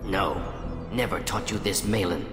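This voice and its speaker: A man's voice: lowish voice, measured pace, lightly acted. A man playing an aging alien scientist and professor in a video game, speaking in clipped, telegraphic phrases that drop small words. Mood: stern, correcting. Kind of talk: video game cutscene acting. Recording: echoey hall reverb under background music.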